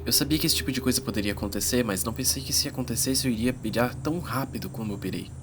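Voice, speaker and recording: A man's voice: medium pitch, very fast, background noise, lightly acted. A Brazilian man playing a teenage schoolboy who narrates an uneasy fantasy adventure in a dramatized audiobook. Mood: narrating. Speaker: first-person narrator.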